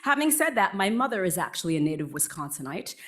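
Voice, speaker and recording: A woman in her forties, born in Vietnam and raised near Boston, talking to a live audience in a chatty, self-deprecating way. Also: quick pace. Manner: chatty and self-deprecating, lively pitch swings. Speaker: guest speaker, writer. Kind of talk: casual talk to a live audience.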